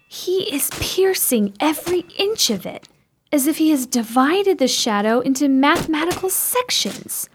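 A woman playing a bold, curious, strong-willed sister in a radio chiller, with formal, dramatic diction and a husky voice. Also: high voice, lively intonation, measured pace, slight background noise. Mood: tense, awed. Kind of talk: theatrical radio play acting.

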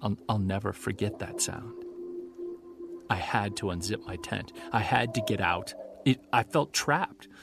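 An actor playing a haunted grown man recalling a childhood monster encounter in a halting, intense audio drama monologue. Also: on the low side, animated, creaky. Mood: grave, haunted. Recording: music under the voice.

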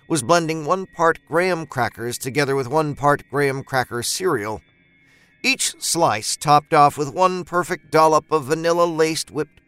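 A male storyteller with a fairly high, smooth and resonant voice reads a horror tale. His narration is expressive and dramatic, with soft music underneath. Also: no room sound.

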